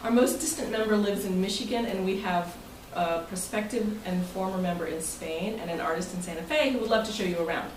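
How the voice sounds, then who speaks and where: A woman's voice: medium pitch, fairly quick, hardly any pauses. An American woman speaking informally as club president and host of a meeting, in a jokey manner. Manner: jokey, breaking into chuckles. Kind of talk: informal speech to a club meeting, part read from prepared text. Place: indoor club meeting room. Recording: echoey, noisy room, distant mic.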